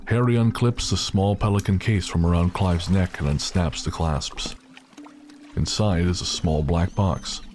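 A man's voice: low voice, a little slow, a little mellow, a little hiss or hum. A man narrating a scripted audio drama as its storyteller.

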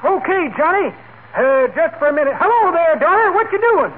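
A man's voice: very high-pitched, animated, fairly quick, narrow, tinny audio. An actor playing a folksy, chipper old man who calls everyone kids, in a 1940s radio comedy. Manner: folksy, calls people kids, daughter and son. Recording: scratchy, very noisy 1940s radio recording.

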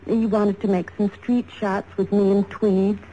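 A soft-toned, mellow American model played by an actress confides with hesitation and dry scorn. It is 1950s radio drama, on an old recording with faint noise. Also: female voice, medium pitch, little pitch movement, unhurried, highs cut off, lightly acted. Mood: scornful, confiding. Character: shaken but wry female fashion model, assault victim.

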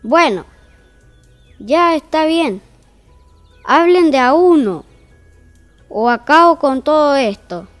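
A woman's voice: high voice, expressive, slow pace, long pauses, soft background music. A woman playing an exasperated, bossy narrator in a comic fairy-tale audio drama. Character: exasperated, bossy storyteller wrangling unruly characters.

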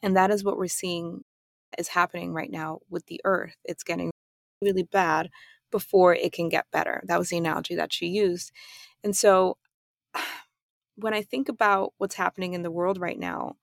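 A woman from Germany hosting her own podcast, talking through her thoughts in a calm, reflective solo monologue. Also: lowish voice, moderate pace, vocal fry, no echo, studio quality. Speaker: podcast host.

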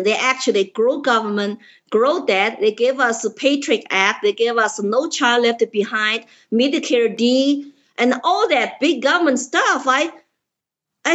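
A woman with a Chinese accent tells her story in a slightly high voice, earnest and forceful in her emphasis. The audio is dull and lo-fi, as if muffled. She speaks in plain, direct sentences. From China. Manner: earnest, emphatic, simple grammar. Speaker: political candidate, guest. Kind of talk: interview storytelling.

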